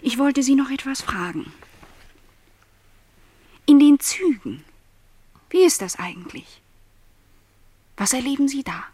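An actress playing a lonely, discontented young housemaid in a literary radio play, plaintive and questioning in formal wording. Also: female voice, sits high, animated, slow pace, long pauses, warm tone, dry recording. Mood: wistful, questioning.

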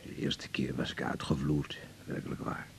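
Very deep, gravelly ailing father. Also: level tone, normal speed, exaggerated voice. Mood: halting, confiding. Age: older. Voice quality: gravelly, breathy at times. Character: ailing, fearful, proud father of a woman doctor. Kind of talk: naturalistic radio drama acting.

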